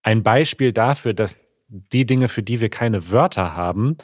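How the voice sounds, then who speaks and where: A man co-hosting a podcast in casual chat, enthusiastic and quick to laugh. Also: lowish voice, lively intonation, darkish tone, no echo, studio quality. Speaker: podcast co-host.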